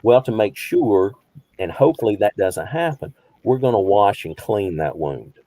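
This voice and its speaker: A man's voice: fairly low pitch, sing-song, moderate pace, warm tone, no echo. A man in his fifties, a behavioral health counselor, teaching a small group conversationally, posing rhetorical questions and repeating phrases for emphasis. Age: 50s.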